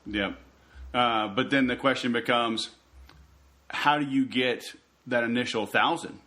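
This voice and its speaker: A man's voice: medium pitch, measured pace, sharp tone. Podcast host dude, mid voice, jokey and chill, says man a lot. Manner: joking, laid-back, says "dude" and "man". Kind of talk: casual interview chat.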